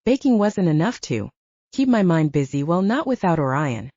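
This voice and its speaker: A woman's voice: fairly low pitch, big pitch swings, moderate pace, mellow, no echo, highs cut off. A woman narrating first-person fiction as a scripted audiobook, recorded close in a dry space.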